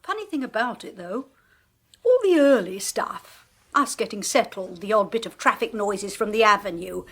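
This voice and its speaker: A woman with an English accent playing a brisk, bossy medium who teases her sister in a radio drama. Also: medium pitch, animated, fairly bright tone, husky. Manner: brisk, bossy, jolly, teasing. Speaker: medium and clairvoyant. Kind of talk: 1980s radio drama acting.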